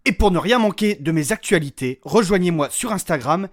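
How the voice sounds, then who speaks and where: A man's voice: sits high, expressive, brisk. A man hosting a podcast, speaking straight to listeners in a scripted outro. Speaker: podcast creator and host.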